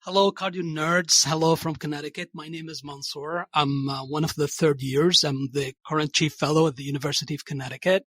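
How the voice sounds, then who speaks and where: A man's voice: a little high, steady tone, brisk, few pauses, a bit bright, no echo. Eager man from Saudi Arabia, dry sound. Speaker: chief cardiology fellow, guest. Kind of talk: podcast interview, introducing himself and a place.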